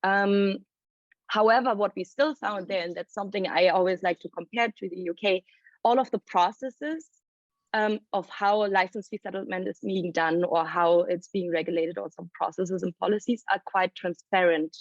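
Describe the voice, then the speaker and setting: Woman, mid-pitched, researcher presenting, explanatory, pauses to think, dry lo-fi recording. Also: no echo. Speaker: report author presenting research. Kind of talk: panel presentation at a report launch. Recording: dry, dull lo-fi sound.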